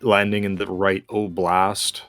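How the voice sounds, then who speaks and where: A man's voice: low-pitched, level tone, a little slow, fairly bright tone, no room sound, faint music behind. A man chatting casually while playing a game, musing and explaining his thinking as he goes. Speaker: gamer co-hosting a gameplay video.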